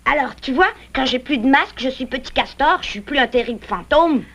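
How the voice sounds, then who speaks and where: Feisty lil boy beaver, high bright cartoon voice, old vhs w a bit of music. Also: a little fast, slight background noise. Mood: playful boasting. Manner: excitable, feisty. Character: plucky young male beaver child, bold and playful. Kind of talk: French-language cartoon dubbing.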